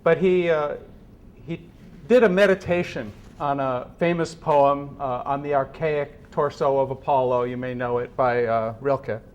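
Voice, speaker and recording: A man speaking to a gathered room in a solemn, deliberate way, with measured phrasing, as if presenting a serious reading. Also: mid-range voice, fairly even intonation, smooth, highs cut off. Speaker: speaker at a meeting. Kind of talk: introducing and reading philosophical prose aloud to a gathering. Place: meeting room with an audience.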